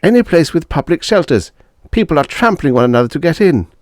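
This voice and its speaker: A man's voice: on the high side, big pitch swings, fairly quick, darkish tone, dry recording, clean studio sound, voice pushed up. A man voicing an urgent, frantic young military officer in an audiobook story. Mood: urgent, pleading.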